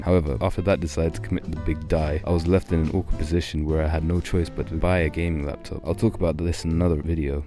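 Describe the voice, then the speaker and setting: Very deep-voiced young man, fast. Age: 20s. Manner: sardonic, self-deprecating. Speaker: gaming video creator. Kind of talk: scripted first-person commentary.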